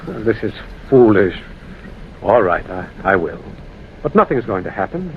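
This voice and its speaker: An American actor playing a sober, skeptical railroad man in an old-time radio ghost drama, measured, grave and dramatic. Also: on the low side, sing-song, muffled recording. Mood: firm, concerned. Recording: thin, noisy vintage radio recording.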